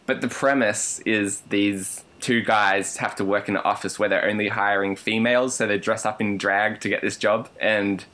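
A man's voice: on the low side, steady tone, normal speed, few pauses, sharp tone. A man chatting on a podcast, wandering through his thoughts with plenty of hesitations. His humour is dry and understated, and the talk stays loose and casual throughout. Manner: rambling, hesitant, dry jokey. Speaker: podcast co-host. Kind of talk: casual chat.

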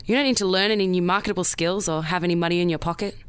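A woman's voice: on the low side, rapid-fire, smooth, lightly acted. A woman playing a confident, persuasive schoolteacher in a noir radio play, with a casual, coaxing manner.

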